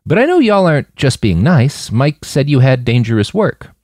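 A man's voice: mid-range voice, big pitch swings, normal speed, a little mellow, no echo, studio quality, pitched above the performer's own voice. A man voicing a polite but wary, earnest young man in an audiobook story.

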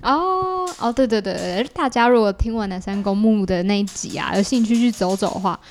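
A woman's voice: mid-range voice, expressive, brisk. Female guest, Taiwanese Mandarin accent, mid-range voice, casual explanatory chat with frequent agreeing interjections. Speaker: podcast guest, creator of audio works.

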